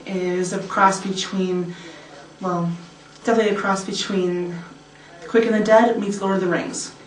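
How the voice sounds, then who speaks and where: A woman with a mid-range voice explains a setting, pausing to find her words. The sound is echoey and picked up from across the room. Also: mid-range voice, steady tone. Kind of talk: behind-the-scenes casual chat. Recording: echoey room, distant mic.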